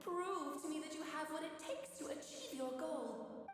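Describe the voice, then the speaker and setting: Woman as Alice, a high, theatrical, playful witch. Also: normal speed, a little hiss or hum, close to an everyday voice. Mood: grandly playful. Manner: theatrical, grandiose. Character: Alice, playful grand witch, theatrical motherly trial master. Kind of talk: video game character acting. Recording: echoey hall reverb, music behind.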